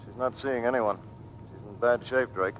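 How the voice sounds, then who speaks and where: An actor playing a brisk, by-the-book navy officer in an old-time radio drama. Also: male voice, medium pitch, fairly even intonation, natural voice. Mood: matter-of-fact. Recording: dull old radio recording, faint noise.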